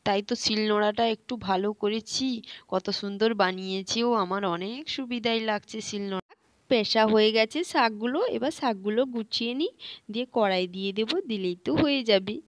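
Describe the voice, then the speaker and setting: A woman's voice: mid-range voice, dry recording, lightly acted. A woman voicing a busy, practical village mother and wife in a children's doll-play story.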